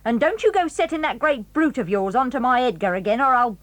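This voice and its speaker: An actress playing a spiteful, sour working-class housekeeper who threatens the children and talks with rough, ungrammatical speech. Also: female voice, on the high side, animated, brisk, no room sound, lightly acted. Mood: indignant, threatening. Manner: sharp and threatening, then fawning. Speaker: Mrs Stick. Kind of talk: 1980s audio drama acting. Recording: dry studio.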